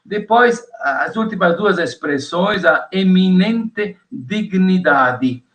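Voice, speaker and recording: Italian-accented prof guy on a podcast, walks through his points one by one, pretty high voice. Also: animated, dull audio. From Italy. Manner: measured, lists points one by one. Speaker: guest professor of theology. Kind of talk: lecture-like explanation on a podcast.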